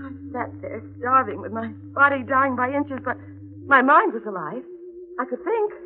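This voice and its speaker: Bitter scared wife, high emotional voice, accusing, thin old 40s radio sound. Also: female voice, expressive, moderate pace, a little hiss or hum. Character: desperate, bitter, defiant wife of a madman. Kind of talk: 1940s radio melodrama acting. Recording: thin, muffled 1940s radio recording.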